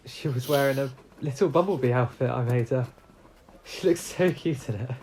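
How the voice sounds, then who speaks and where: A woman's voice: extremely low pitch, moderate pace, warm tone, a little hiss or hum. Woman acting a worried, sorrowful parent. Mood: anxious, sorrowful. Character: worried, grieving parent of a missing child. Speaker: actor in an audio play. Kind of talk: naturalistic audio drama acting.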